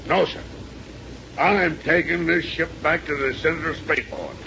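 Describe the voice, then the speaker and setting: An actor playing a stubborn, loyal, slow-witted spaceship pilot who blusters in rough grammar in a 1930s radio serial. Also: male voice, on the high side, bright, forceful tone, full character voice. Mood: grudging, puzzled. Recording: noisy 1930s radio recording.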